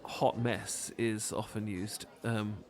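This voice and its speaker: A man's voice: lowish voice, fairly even intonation, takes it slowly, darkish tone. A man with a British accent playing a flustered, bashful, adorkable romantic who stammers and rambles apologetically in an intimate ASMR roleplay. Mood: shy, self-deprecating. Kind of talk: intimate ASMR roleplay acting, addressed to listener.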